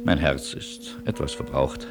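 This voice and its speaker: An actor playing a world-weary refugee in a German radio play, speaking with wry, courteous irony. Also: male voice, rumbling low, fairly even intonation, unhurried, over loud music. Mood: weary, resigned. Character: weary, ironic refugee man with a worn heart.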